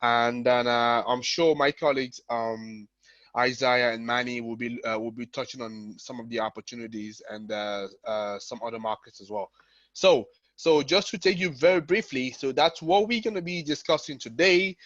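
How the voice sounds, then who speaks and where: A man's voice: medium pitch, normal speed, fairly bright tone, no echo. A man giving an informal webinar presentation as a company founder, repeating words and checking in as he goes. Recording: dull, lo-fi online call audio.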